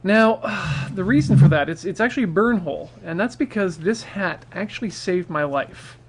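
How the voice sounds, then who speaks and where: High-pitched man telling casual stories. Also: animated, normal speed, husky. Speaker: storyteller on a charity livestream. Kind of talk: casual humorous storytelling.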